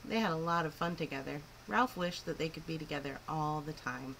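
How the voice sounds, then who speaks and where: A woman reading a picture book aloud to children in an expressive, clearly phrased storytelling style. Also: low voice, a little fast, slight background noise, low-quality recording. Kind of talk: animated children's story narration.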